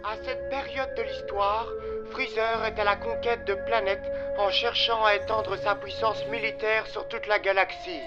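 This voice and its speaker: Casting: male narrator, high-pitched, dramatic scripted storytelling, strong music bed. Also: lo-fi sound.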